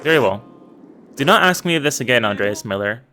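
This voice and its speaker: A man reading a strict, rule-bound monk from a narrative game, stiff and disapproving in every word. The voice is pitched a little low and kept quiet, with plenty of rise and fall. A clear hiss of noise sits under the recording. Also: lively intonation, fairly quick. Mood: stern. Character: stern, formal monk guarding an abbey's treasures.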